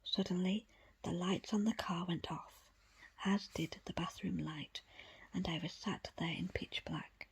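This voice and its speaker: A woman reading a book aloud in an ASMR style, in a breathy, unvoiced whisper with hushed, measured phrasing. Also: on the low side, steady tone. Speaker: book reader presenting an audiobook extract.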